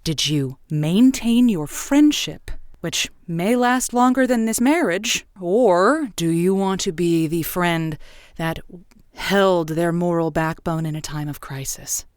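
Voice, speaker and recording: A woman's voice: fairly low pitch, slow, darkish tone, no room sound, clean studio sound. A woman playing a radio advice show host who hedges, stammers and waffles over what to tell her callers. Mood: waffling. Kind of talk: improvised comedy call-in show.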